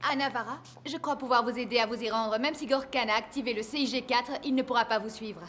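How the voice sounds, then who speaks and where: Woman acting a high-voiced, serious cartoon scientist. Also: steady tone, quick pace, a bit bright, slight background noise, natural voice. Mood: earnest. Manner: earnest, explanatory. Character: serious, principled female scientist.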